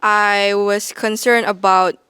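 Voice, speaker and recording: A young woman student answering an interview question calmly and plainly. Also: mid-range voice, takes it slowly, smooth, dry recording. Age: young adult. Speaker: senior teaching assistant.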